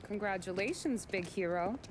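A woman's voice: medium pitch, lively intonation, moderate pace, noisy background, lightly acted. An actress playing a sassy, teasing woman in a video game cutscene.

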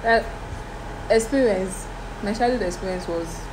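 Young Nigerian woman, guest, lively storyteller with dramatic quotes; air-con hum in the room. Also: mid-range voice, a little slow. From Delta State, Nigeria. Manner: animated storyteller, dramatic quoting, mixes in pidgin. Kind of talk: casual chat. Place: indoor room, seated before curtains.

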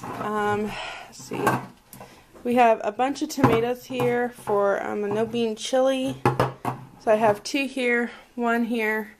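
A woman vlogger talking casually indoors at home in a rambling, think-aloud way, recorded on a handheld camera. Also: a little high. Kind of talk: casual chat, showing a grocery haul. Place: indoors at a home dining table. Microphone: handheld camera microphone. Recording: noisy handheld camera audio with clicks.

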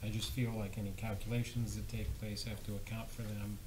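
Low-voiced man in a noisy meeting. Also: little pitch movement, brisk. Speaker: planning board member. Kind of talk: deliberative meeting discussion. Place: indoor municipal meeting room. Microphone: gooseneck table microphone. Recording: noisy meeting room recording.

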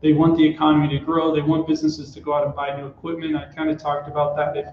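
A man's voice: fairly high pitch, completely flat delivery, fast talker, a little hiss or hum. A man in his thirties, a tax expert explaining rules steadily in an office interview, with frequent "uh"s. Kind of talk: explaining tax rules in a live company broadcast. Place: small office room. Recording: roomy, dull office recording.

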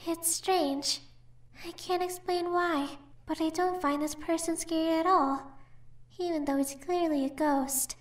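A woman's voice: extremely high pitch, steady tone, reverberant. A woman voicing a sweet, earnest, easily startled schoolgirl in a horror game fan dub, with a girlish voice. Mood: puzzled. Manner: earnest, halting when nervous. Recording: music behind the voice.

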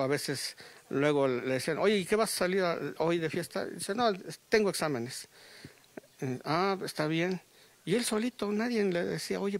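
Middle-aged man, Mexican accent, gravelly voice, slightly high pitch, halting restarts, handheld mic outdoors. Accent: Mexican Spanish. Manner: halting, many restarts and fillers. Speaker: grieving father. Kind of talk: live news interview. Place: outdoors under a tent at a funeral. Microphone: handheld reporter mic.

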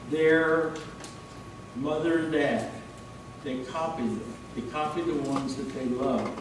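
An older American man preaching a sermon in a folksy, storytelling manner. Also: on the high side. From Texas, United States. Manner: folksy, illustrating with homely stories. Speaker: pastor. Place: church stage, indoors. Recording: echoey hall, distant mic, faint noise.

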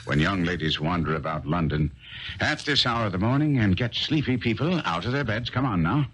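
A courteous, brisk detective in an old radio play. His voice is deep and animated, urbane and precise, with faint noise on the recording. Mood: brisk, teasing. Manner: urbane, precise, dryly teasing. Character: brisk, courteous, self-assured male detective. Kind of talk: 1970s radio drama acting. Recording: faintly noisy 1970s radio broadcast.

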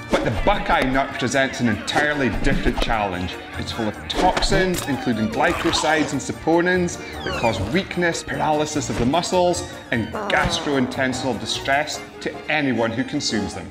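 A man in his thirties co-hosting a show, trading deadpan jokes and puns. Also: medium pitch. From Scotland. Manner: playful, deadpan punning. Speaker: co-host. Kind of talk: joking casual chat. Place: outdoor farm and open barn.

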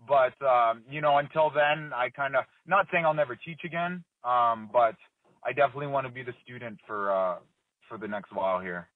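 A man in his thirties, a fighter being interviewed, talking in a relaxed, rambling way full of fillers and restarts. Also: mid-range voice, moderate pace, no echo. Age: 30s. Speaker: MMA fighter, interview guest. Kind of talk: casual phone interview. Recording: dry tinny phone line.

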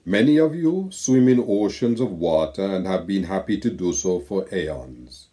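An older man from Saint Kitts and Nevis reading his own poetic letter aloud in a slow, measured way, recorded on a webcam at home. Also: deep voice, animated, mellow. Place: home room with bookshelves.

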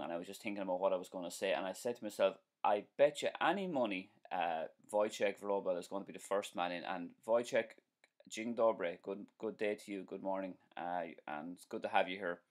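A man's voice: low voice, a little fast. A man in his forties with an Irish accent, hosting a live stream as a driving instructor, chatting casually and answering viewer comments with plenty of ums and restarts. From Ireland. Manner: chatty, friendly, repeats himself, many um and uh fillers. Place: small indoor room at home.

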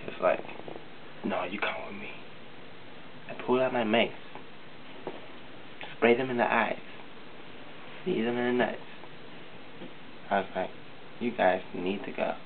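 A man's voice: lowish voice, creaky. A man voicing a sassy, flamboyant young male cousin who tells a boastful, tough story in a comedy skit, recorded in a basement. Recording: tinny lo-fi audio with hiss.

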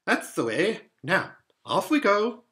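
A man's voice: high-pitched, big pitch swings, takes it slowly, pushed and bright, pitched above the performer's own voice, exaggerated voice. A man voicing a courteous, flustered faun in a children's storybook, fussy and polite, often stammering and repeating himself. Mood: hospitable. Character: courteous, flustered young-hearted male faun, eager to please.

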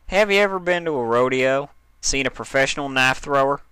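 A man's voice: mid-range voice, brisk, sharp tone, smooth, no room sound, voice pushed up, close to an everyday voice. A man voicing a practical, self-assured female farm pony in a story reading, explaining things with huffy patience. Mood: exasperated. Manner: explanatory, huffy, matter-of-fact.

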